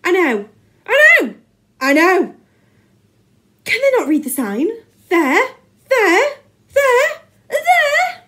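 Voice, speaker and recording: A woman puts on a pompous schoolmaster from a children's storybook. He bellows and splutters in wild high swoops. He is easily enraged and keeps repeating himself as he comes apart. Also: sing-song, extremely slow, bright, forceful tone, recorded from a distance, heavily acted. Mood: exasperated, frantic.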